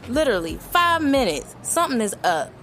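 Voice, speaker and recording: A woman's voice: fairly high pitch, sing-song, a little fast, a bit bright, faint music behind. An actress playing a fed-up, suspicious wife and mother, talking to a friend in emphatic, slangy audio drama dialogue. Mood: exasperated, suspicious.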